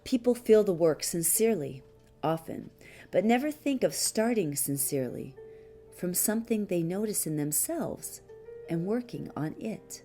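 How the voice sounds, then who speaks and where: A woman reading a spiritual teaching text as an audiobook, measured and deliberate, pausing often between short phrases. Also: lowish voice, animated, warm tone. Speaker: audiobook reader. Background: music behind the voice.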